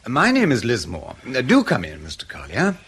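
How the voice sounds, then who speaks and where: An actor playing a polite, evasive English intelligence interrogator in a radio drama, measured and officious with a steely edge. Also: male voice, mid-range voice, wildly swooping pitch, fairly bright tone, a little hiss or hum. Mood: polite, insistent.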